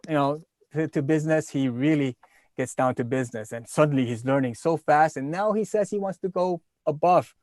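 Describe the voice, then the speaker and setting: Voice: medium pitch, brisk, darkish tone, dry recording, studio quality. A co-host on a live video chat, speaking animatedly and laughing easily. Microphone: video call.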